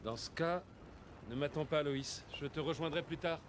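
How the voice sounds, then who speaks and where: A man's voice: fairly high pitch, moderate pace, a little hiss or hum, close to an everyday voice. A man voicing an earnest young reporter who is secretly a superhero in a French cartoon dub. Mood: mild, puzzled.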